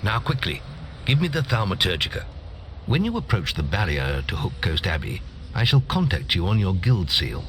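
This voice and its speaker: An actor playing a grave older guild master in a fantasy video game, speaking formally and urgently. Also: male voice, low voice, sing-song, normal speed, a little hiss or hum. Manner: solemn, urgent, formal instruction. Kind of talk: scripted fantasy game acting.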